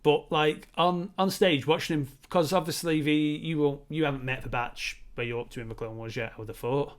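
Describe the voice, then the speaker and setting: A man's voice: on the high side, fairly even intonation, a little fast. A man co-hosting a casual film discussion, talking in a rambling, enthusiastic fan's way. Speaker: co-host of a film discussion show.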